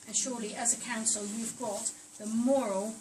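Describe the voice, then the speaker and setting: A resident presses her point from the floor, insistent and rhetorical. Her fairly high voice is heard from a distance, with steady noise in the background. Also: moderate pace. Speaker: resident at a public meeting. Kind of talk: public comment. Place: council meeting hall.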